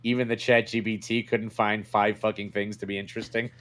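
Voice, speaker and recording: A man's voice: fairly low pitch, little pitch movement, brisk, fairly bright tone. A man co-hosting a casual comedy podcast, bantering in a blunt, crude, wisecracking way. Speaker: podcast co-host.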